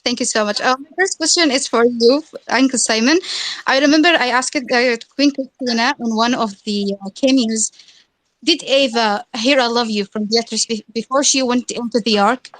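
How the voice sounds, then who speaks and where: A woman's voice: on the high side, moderate pace, fairly bright tone, rough recording. A woman hosting a live fan chat, eager and chatty, stumbling over her words. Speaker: host of a fan audio chat.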